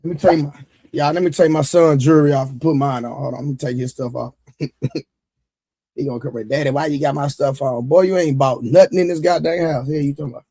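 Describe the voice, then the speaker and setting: A hoarse man hosting a live panel stream, talking in loose, teasing slang. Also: fairly high pitch, fast talker, mellow. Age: adult with an eleven-year-old son. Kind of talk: casual live-stream banter.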